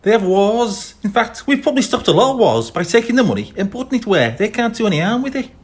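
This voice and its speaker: A man's voice: sits high, sing-song, fast, pitched above the performer's own voice. A man voicing a streetwise male talking cat with a wheedling, persuasive patter in an audiobook. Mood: scheming.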